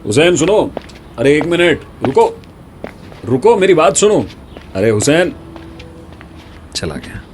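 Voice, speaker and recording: A man's voice: fairly high pitch, very animated, takes it slowly, long pauses, a little mellow, a little hiss or hum. A frank, chummy male college dean. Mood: urgent, pleading. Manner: informal, chummy, earnest. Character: genial, frank male college dean and loyal old friend. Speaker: actor in an audio drama. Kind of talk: Hindi radio drama acting.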